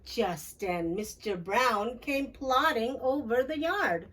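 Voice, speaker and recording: A woman's voice: on the high side, slow pace, fairly bright tone, far from the mic, slight background noise. A woman reading a children's story aloud, expressively, addressing young listeners with questions.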